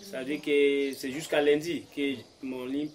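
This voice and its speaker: A man from Cameroon with a Cameroonian accent, English his first language, giving a halting welcome speech with many fillers, switching between French and English. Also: medium pitch, little pitch movement, crowd noise. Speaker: host of the gathering. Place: room in a private home.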